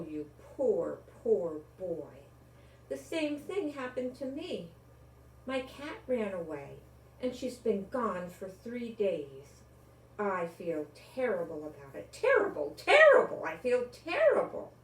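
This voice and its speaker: A woman's voice: mid-range voice, big pitch swings, slow, a little hiss or hum. An older woman voicing a big, vain, dramatic lady in a children's storybook, with gushing emphasis. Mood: sympathetic, then wailing. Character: big, dramatic, vain lady customer doting on her cat. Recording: echoey room, distant microphone.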